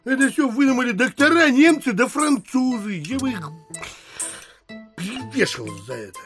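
A blustering male landowner from a classic audio play, opinionated and gruff. His high voice leaps up and down as he declaims his contempt, with faint music behind him. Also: expressive, normal speed. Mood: scornful grumbling. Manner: emphatic, scornful, declamatory. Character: gruff, blunt, gluttonous landowner, grumbling and opinionated.

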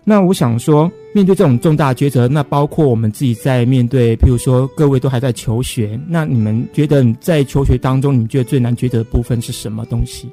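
A man's voice: medium pitch, brisk, hardly any pauses, warm tone, faint music behind. A man with a Taiwanese accent hosting a conversational radio interview, asking probing questions. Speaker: radio host.

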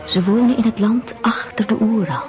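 A woman playing a solemn folktale narrator in a radio drama, measured and dramatic, sometimes hushed. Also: fairly high pitch, music in the background, close to an everyday voice.

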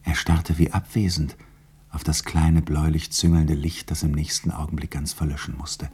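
A man's voice: a bass voice, level tone, moderate pace, darkish tone. A man giving scripted storytelling narration as the narrator of a 1960s radio play.

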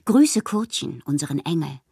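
A woman's voice: low voice, big pitch swings, moderate pace, warm tone, no room sound, studio quality, exaggerated voice. An actress playing a whimsical, lovelorn, melancholy woman poet performing her letters in a radio drama, theatrical and given to whispering. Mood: elated, fervent. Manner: theatrical, swinging between breathy whispers and exclamations.

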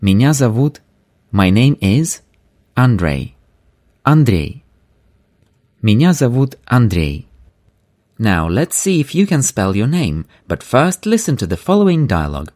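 A man teaching a language lesson, slowly and clearly repeating each phrase for learners. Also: medium pitch, sing-song, a little mellow. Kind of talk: scripted language lesson narration.

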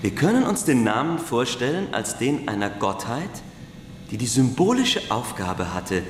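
An actor playing a learned classics teacher, lecturing in a formal, didactic way. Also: male voice, mid-range voice, big pitch swings, normal speed, lightly acted. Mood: scholarly. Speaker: schoolteacher. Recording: echoey room, faint noise.

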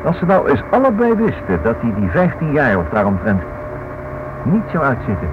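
A man's voice: mid-range voice, wildly swooping pitch, normal speed, background noise. An inquisitive writer in an old radio drama. He reasons aloud insistently with very mobile intonation, circling back over his words. The sound is dull and band-limited. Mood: excited reasoning. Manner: thinks aloud, insistent, repeats himself. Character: inquisitive, stubborn married man writing a book. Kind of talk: radio play acting.

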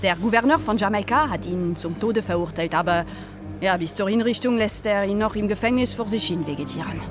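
A woman's voice: medium pitch, a little fast, close to an everyday voice. An actress playing a worldly French woman in a German audio drama, telling grim news with a French accent and dramatic relish. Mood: grave. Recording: studio radio drama with music underneath.

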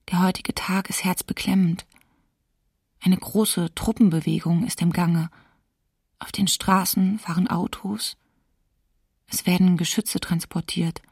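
A woman's voice: lowish voice, darkish tone, breathy edge, no echo, studio quality, heavily acted. An actress playing a lonely, fearful woman diarist in wartime winter, sombre and restrained, in a documentary radio play. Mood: anxious, sombre. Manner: sombre, restrained, short plain sentences.

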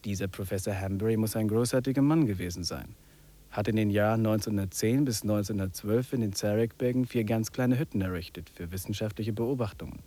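An actor in a 1950s radio drama playing a wry, contemplative English trekker who muses and drops in English phrases. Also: male voice, fairly low pitch, a little fast, dark tone, close to an everyday voice. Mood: musing. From England. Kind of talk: 1950s German radio drama acting, reflective monologue and dialogue.

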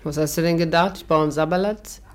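An actress as a worldly young barmaid with a low voice. She is flippant and sardonic, teasing men coolly in the manner of a 1950s radio drama. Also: steady tone, quick pace, close to an everyday voice. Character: cool, mocking young barmaid playing a worldly gangster's girl. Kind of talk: 1950s German radio play acting.

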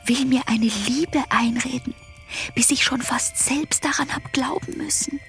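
A woman's voice: high-pitched, unhurried, fairly bright tone, faint music behind. A woman voicing a scornful young woman's inner thoughts in a radio play, muttering breathily to herself with bitter reproach. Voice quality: breathy, half-whispered. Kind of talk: German radio play interior monologue.

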